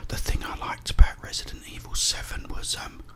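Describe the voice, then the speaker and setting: A man whispering breathily in a hushed, unhurried ASMR ramble while eating. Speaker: ASMR video creator.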